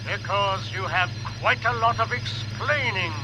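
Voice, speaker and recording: An actor playing a domineering villain spaceship commander in 1950s radio drama, curt and imperious over an intercom. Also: male voice, high voice, measured pace. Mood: cold, commanding. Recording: noisy old radio broadcast with loud hum.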